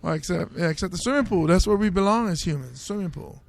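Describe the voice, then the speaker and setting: A man's voice: high voice, lively intonation, quick pace, gravelly. A man from Samoa hosting a casual comedy podcast, riffing on the news and laughing at his own bits. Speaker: host, stand-up comedian.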